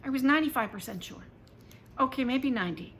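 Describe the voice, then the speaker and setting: A woman reading a first-person children's story aloud in an expressive, dramatic and emphatic way. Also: high voice, quick pace, a little hiss or hum. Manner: animated, dramatic, emphatic delivery.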